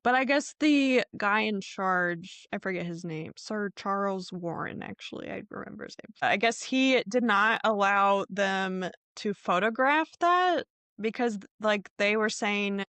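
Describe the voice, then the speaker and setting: A woman's voice: mid-range voice, lively intonation, a little slow, fairly bright tone, smooth, no room sound. A woman hosting a podcast, telling true crime stories in an informal, conversational way with a hedging manner. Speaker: podcast host.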